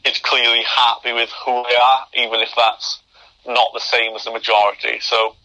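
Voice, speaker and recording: A young man with a very bright, sharp voice talks as a podcast guest. He stammers, restarting and blocking on words, and the audio comes through a remote call. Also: medium pitch, completely flat delivery, normal speed, dull audio. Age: young adult. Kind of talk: interview conversation.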